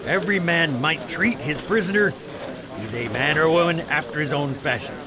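An actor playing a hardened, wry pirate quartermaster who rallies the crew in a radio drama. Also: male voice, a little high, normal speed, crowd noise, lightly acted. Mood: matter-of-fact.

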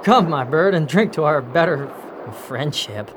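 Blustering, high-voiced pirate captain. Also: male voice, animated, measured pace. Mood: lustful, swaggering. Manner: blustering, theatrical. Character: brutal, lustful pirate captain, boisterous and menacing. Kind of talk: radio drama acting. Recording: noisy ambience behind the voice.

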